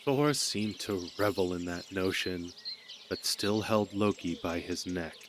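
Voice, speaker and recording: A man's voice: low-pitched, a little slow. A man narrating a scripted audio drama as a storyteller. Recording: noticeable background noise.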